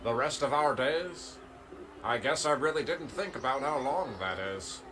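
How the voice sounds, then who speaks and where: A man's voice: medium pitch, lively intonation, a little slow, noisy background, natural voice. A man playing a dapper con-artist pony brother in a cartoon comic dub, speaking in formal, flowery phrases. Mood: apologetic, admiring. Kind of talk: fan comic dub character acting.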